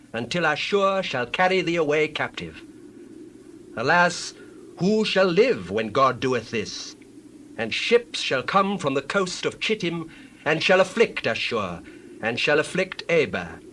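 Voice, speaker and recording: A man playing a solemn prophet, declaiming visions with measured, oracular pauses in a dramatized Bible reading. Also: a little high, fairly bright tone, a little hiss or hum.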